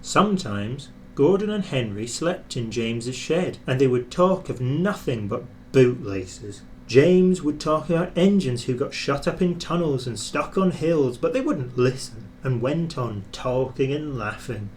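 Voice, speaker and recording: Unhurried man reading a children's story. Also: mid-range voice, lively intonation, a little hiss or hum. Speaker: storybook reader. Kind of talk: scripted children's story narration, expressive.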